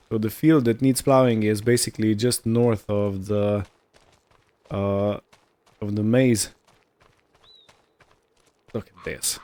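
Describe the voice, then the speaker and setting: A man's voice: on the low side, dark tone. A man giving casual roleplay gameplay commentary, musing aloud as he narrates what he is doing, with faint vehicle and ambient sound behind him. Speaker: gaming video host.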